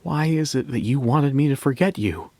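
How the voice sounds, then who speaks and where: A man's voice: mid-range voice, animated, moderate pace, a little mellow, no room sound. An actor playing a lovelorn, wounded man who confesses his loss in a dramatic first-person audio story monologue. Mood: pleading.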